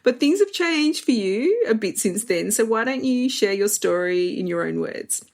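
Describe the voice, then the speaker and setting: Gravelly-voiced woman podcast host. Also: fairly high pitch, lively intonation, moderate pace, darkish tone. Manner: friendly, laughs easily. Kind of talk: podcast interview.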